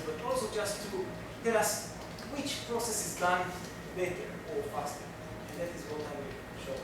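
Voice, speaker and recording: A man from Israel with an Israeli accent, giving a workshop lecture in English, speaking carefully and restarting phrases often. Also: high voice. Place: large lecture room. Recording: very echoey room, distant mic, noisy.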